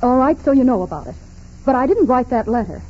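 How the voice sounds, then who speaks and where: Sardonic, high-voiced young socialite. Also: female voice, animated, quick pace. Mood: defiant. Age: 20s. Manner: curt, sardonic, challenging. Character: brash, defiant young socialite woman. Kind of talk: 1940s radio acting. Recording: muffled, noisy 1940s radio recording.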